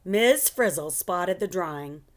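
A middle-aged woman reading a children's book aloud in an expressive, animated storytelling style at home. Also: lowish voice, measured pace. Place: living room at home.

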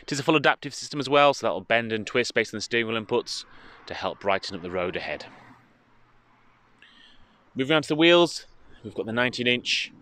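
A British man giving an informative, steady walkaround presentation as a car dealership presenter, speaking into a handheld phone outdoors. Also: mid-range voice, brisk, slight background noise. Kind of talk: walkaround product presentation, semi-improvised commentary. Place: outdoors in a car park, partly inside a car.

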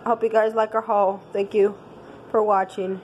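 A woman with a stuffed nose, speaking in a plain, unhurried way, recorded on a handheld phone in her home kitchen. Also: medium pitch, little pitch movement, darkish tone, faint music behind, rough recording. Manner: plain listing, unhurried, unscripted. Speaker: family channel host. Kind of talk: grocery haul show-and-tell. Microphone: handheld phone camera.

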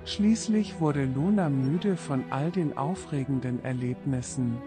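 Slow-paced male bedtime storyteller over music. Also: medium pitch. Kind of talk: scripted bedtime story narration for children. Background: orchestral string serenade music.

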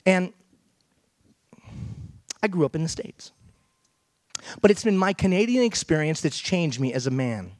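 A man's voice: fairly high pitch, very animated, measured pace, long pauses, no echo, clean studio sound. A middle-aged man from Iran giving an emphatic motivational keynote on a large theater stage, often throwing questions out to the audience. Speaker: keynote speaker. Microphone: headset mic.

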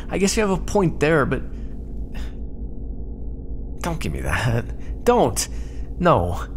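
A sarcastic, prickly starship crewman, voiced by a man in an ASMR roleplay. His pitch swings widely as he snaps and grumbles with exasperation. A faint ambient hum sits behind him. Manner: sarcastic, exasperated, quick-tempered.

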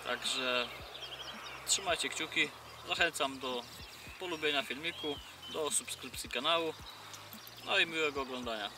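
A man's voice: on the high side, unhurried, pauses often. A young man chatting casually in a fishing vlog from a boat on a lake, with many hesitation fillers. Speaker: fishing vlogger. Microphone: camera mounted in front of him. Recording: distant mic, loud wind and outdoor noise.

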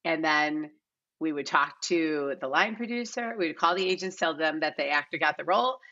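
A woman's voice: low voice, animated, normal speed, sharp tone, muffled recording. A middle-aged woman answering casually as an interview guest, emphatic and repeating words for emphasis, on a video call from home. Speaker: guest, casting director.

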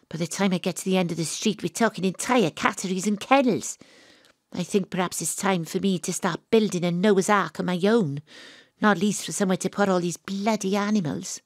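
An actress with a Welsh accent playing a wry, exasperated psychic private detective in an audio drama. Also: female voice, mid-range voice, dry recording, clean studio sound, close to an everyday voice. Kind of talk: audio drama acting, dialogue and first-person noir narration.

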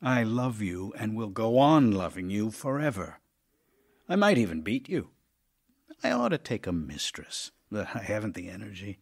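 A man's voice: lowish voice, sing-song, normal speed. An actor playing an ailing middle-aged playwright husband in an audio drama, tender, intimate and wistful as he voices love letters. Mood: relieved, fond.

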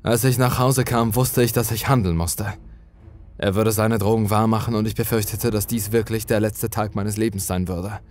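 A man's voice: on the low side, fairly even intonation, normal speed, darkish tone, soft background music. A man reading a horror story as dramatic scripted narration.

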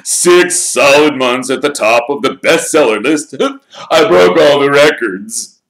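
A man voicing a vain, showy grown wizard who talks in a loud, theatrical, self-admiring way. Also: mid-range voice, expressive, slow, voice pushed up, low-quality recording. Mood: chattering.

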